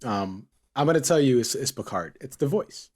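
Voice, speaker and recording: A man's voice: mid-range voice, lively intonation, moderate pace, darkish tone. A man hosting a casual group chat, teasing and bantering playfully with his co-hosts. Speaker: podcast host.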